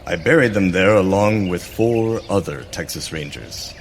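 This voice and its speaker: A man playing a grave, loyal scout in a western radio play, speaking formally and deliberately. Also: low voice, animated, slight background noise. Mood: somber. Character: solemn, loyal male trail companion and scout. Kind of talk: western radio theater acting.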